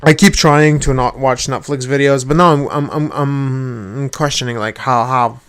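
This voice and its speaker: A man's voice: medium pitch, steady tone, a little slow, a bit bright, clear, resonant tone. A man whose first language is not English, ranting at his webcam in a rambling way, swearing often and restarting his sentences. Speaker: vlogger. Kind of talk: rambling personal rant. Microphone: webcam at desk.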